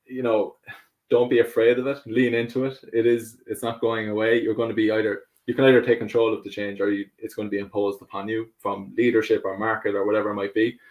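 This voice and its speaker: A man's voice: lowish voice, level tone, fast, narrow, tinny audio. A man from Ireland with an Irish accent, answering interview questions casually, hesitant and full of fillers, laughing easily. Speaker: guest.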